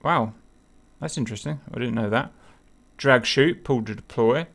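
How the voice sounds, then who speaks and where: A man's voice: mid-range voice, moderate pace, a bit bright. A man hosting a casual group voice chat, thinking aloud with many fillers and wondering questions. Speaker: host leading a group discussion. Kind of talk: casual group chat over a cockpit picture.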